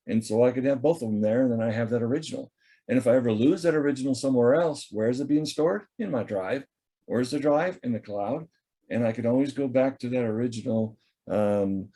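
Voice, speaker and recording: A man teaching staff a software tool over a video call, in a relaxed, chatty way with many fillers as he thinks aloud. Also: mid-range voice. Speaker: instructor. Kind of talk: screen-share software training. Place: video call from a home or office. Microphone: computer or headset mic on a video call.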